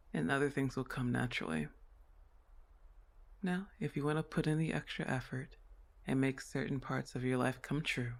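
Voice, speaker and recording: A man voicing a comforting sleep paralysis demon in an ASMR roleplay, reassuring and unhurried as he speaks to the listener like a therapist with a teasing streak. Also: medium pitch, gravelly.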